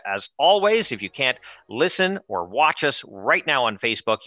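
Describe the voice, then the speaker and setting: A man in his thirties hosting a political talk show over a headset mic, emphatic and animated in delivery. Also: medium pitch, no room sound. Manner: energetic, emphatic, gestures while talking. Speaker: show host. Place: studio with branded backdrop, indoors.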